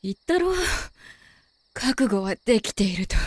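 A woman's voice: mid-range voice, dry recording. A woman playing a proud, resolute werewolf woman who speaks bluntly and coolly in a Japanese amateur voice drama. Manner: blunt, masculine phrasing, cool.

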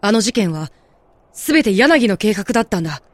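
Audio drama performance of a composed, gently guiding character played by an actor. The voice sits at a middle pitch and unfolds explanations in a measured way. The sound is close and dry. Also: very animated, no echo, soft background music. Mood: grave, explanatory. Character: composed explainer who gently guides a distressed girl. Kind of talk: Japanese audio drama acting.

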